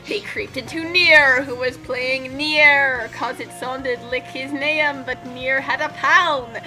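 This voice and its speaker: A woman's voice: high-pitched, fairly even intonation, slow pace, sharp tone, faint music behind. A woman reading a story aloud dramatically and bantering jokingly with friends. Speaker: co-host reading fan fiction aloud.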